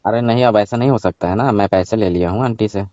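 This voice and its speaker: A man's voice: on the low side, fast, warm tone, no echo, lightly acted. A man playing a cheeky, money-minded village ice-cream seller in a staged phone-call skit, matter-of-fact, teasing and evasive. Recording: dull, lo-fi call recording.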